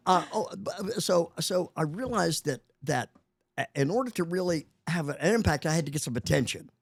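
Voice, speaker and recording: A man's voice: fairly high pitch, lively intonation, a little fast, no echo. A man in his eighties, a guest in a casual interview, talking with many restarts and chuckling often. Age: 80s.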